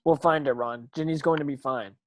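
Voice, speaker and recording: A woman's voice: deep voice, fairly quick. A woman playing a determined, earnest schoolboy wizard hero in an amateur script read.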